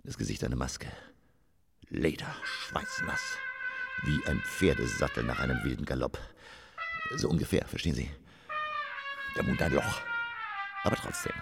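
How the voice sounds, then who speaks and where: Radio play: self-absorbed stage tragedian, low gravelly voice, declamatory, rambling, melancholy. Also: male voice, huge pitch swings, measured pace, pauses often, over loud music, heavily acted. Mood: wistful, declaiming. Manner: declamatory, rambling, dramatic swings from whisper to outburst. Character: theatrical, self-absorbed, melancholy male stage actor living for theatre. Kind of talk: German radio drama monologue.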